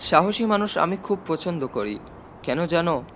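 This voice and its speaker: An affectionate army chief as a man narrator reads him, confident and fatherly in a storybook. The voice is high for a man, explaining and reflecting, with faint noise behind it. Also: animated, normal speed, a bit bright. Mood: admiring. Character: army chief uncle, affectionate, confident, older than his listener. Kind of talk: storybook reading.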